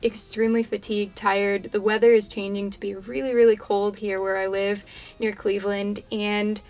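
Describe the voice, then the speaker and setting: Brief: young woman, stuffed-up and slightly worn voice, casual even-toned craft-vlog voiceover, rambling chatter. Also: mid-range voice, normal speed. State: congested, voice fading. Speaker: craft YouTuber. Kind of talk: casual voiceover chat over a painting demo.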